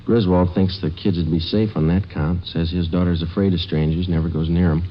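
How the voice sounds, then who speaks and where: A man playing a stoic police sergeant in an old radio crime drama, speaking in a clipped, matter-of-fact deadpan. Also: low voice, fairly quick, a little hiss or hum, lightly acted. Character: stoic male police sergeant, terse and steady. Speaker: lead actor and narrator. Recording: dull old radio recording with music.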